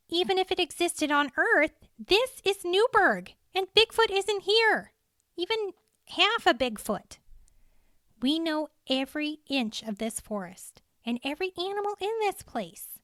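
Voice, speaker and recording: Woman acting an emphatic, high-voiced female forest ranger. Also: big pitch swings, a little slow, a bit bright, dry recording, clean studio sound, heavily acted. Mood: firm disbelief. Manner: emphatic, exclamatory. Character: alarmed female forest ranger, disbelieving, bossy but caring. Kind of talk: audiobook character dialogue.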